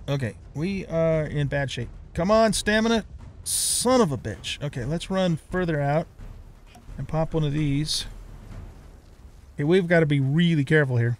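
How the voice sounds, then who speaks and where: A man's voice: a little high, lively intonation, normal speed. A middle-aged man giving casual, reactive gameplay commentary, talking half to himself and half to the game. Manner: talks to himself and to the game, mild swearing. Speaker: let's play host.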